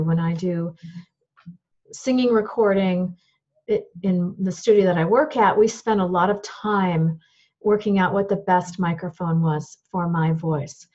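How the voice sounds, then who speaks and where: High-voiced woman teaching microphone technique. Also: measured pace, dark tone, dull audio. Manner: practical, demonstrating equipment as she explains. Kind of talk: instructional talk to camera.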